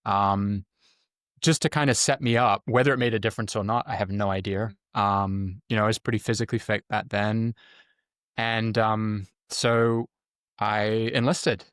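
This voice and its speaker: Middle aged guy, low voice, dry close recording, explains stuff in interview, pauses a lot. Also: steady tone, fairly bright tone, smooth, dry recording. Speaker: guest, former Army Ranger medic. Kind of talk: conversational interview answers.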